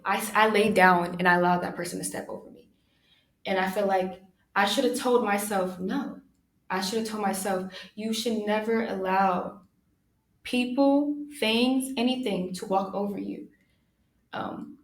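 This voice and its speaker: Soft-spoken young woman, halting and reflective. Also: mid-range voice. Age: young adult. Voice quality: soothing voice. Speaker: podcast host. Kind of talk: reflective personal monologue.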